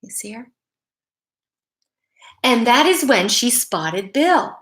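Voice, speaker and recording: Woman, children's story-time host. Higher-pitched, very bright voice, unhurried read-aloud delivery with questions to the kids. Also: very animated. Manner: addresses young listeners with simple questions.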